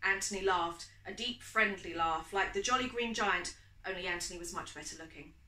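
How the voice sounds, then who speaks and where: A woman's voice: lowish voice, a little fast, bright, forceful tone, reverberant, poor audio. A woman author reading romantic fiction aloud in a storytelling style. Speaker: author reading from own novel.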